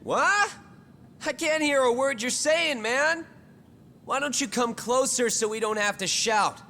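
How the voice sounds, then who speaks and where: A man's voice: extremely high pitch, expressive, unhurried, bright, forceful tone, a little hiss or hum, exaggerated voice. A man playing a taunting, insolent teenage student bully in a video game dub, slangy and mock-polite, with long reverb on the voice. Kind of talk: video game cutscene English dub acting.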